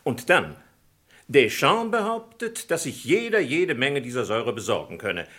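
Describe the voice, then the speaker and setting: An actor playing a persistent, polite French investigator in a German radio crime drama, reasoning in a formal, probing way. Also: male voice, mid-range voice, sing-song, moderate pace, a bit bright. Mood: probing, courteous.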